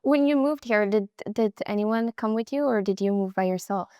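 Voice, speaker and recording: A young woman hosting a sports interview, asking warm, admiring questions in a lively way. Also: medium pitch, moderate pace, smooth, no echo, studio quality. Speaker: interview host.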